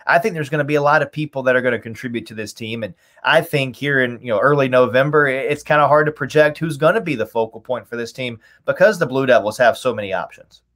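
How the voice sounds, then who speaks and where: A man's voice: mid-range voice, quick pace, few pauses, a bit bright. A man in his thirties giving conversational sports commentary as a guest on a video call from a room at home. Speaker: guest, radio play-by-play announcer.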